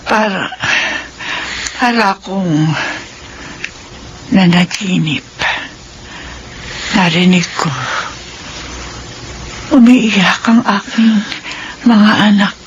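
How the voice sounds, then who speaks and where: An actress playing a weak, gentle mother recovering from illness in a radio drama, speaking in a frail, halting way. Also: medium pitch, lo-fi sound, a little hiss or hum. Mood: weak, wondering.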